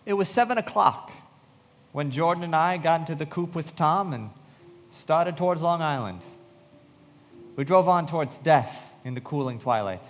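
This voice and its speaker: A man playing a sombre narrator recounting a tragedy in a radio play, with measured, solemn delivery. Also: fairly high pitch, animated, close to an everyday voice. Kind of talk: dramatic scripted narration. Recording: reverberant hall.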